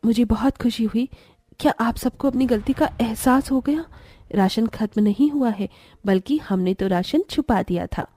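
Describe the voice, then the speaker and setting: A woman acting a humble young daughter-in-law in a cartoon story, earnest and respectful. The voice is high and mellow with a husky, breathy edge. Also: moderate pace, dry recording, lightly acted. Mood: pleased. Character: gentle, principled poor young daughter-in-law.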